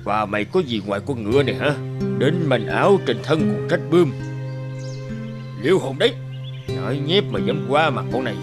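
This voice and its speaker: A man's voice: medium pitch, big pitch swings, normal speed, long pauses, over music, lightly acted. A man voicing an arrogant village warrior in a cartoon fable, mocking, boastful and scornful. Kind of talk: animated fable voice acting.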